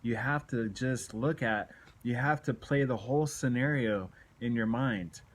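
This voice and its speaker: A man's voice: medium pitch, a little slow. A man in his late forties talking candidly to camera in an earnest, exhorting, confessional way, often repeating his points for emphasis. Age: late 40s. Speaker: self-help video blogger. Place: small room at home, indoors. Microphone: phone at arm's length.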